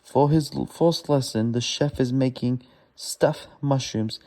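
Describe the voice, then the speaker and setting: A man reads a children's storybook aloud haltingly, stumbling over words and correcting himself as he goes. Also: medium pitch, mellow. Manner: stumbling, laboured reading with self-corrections.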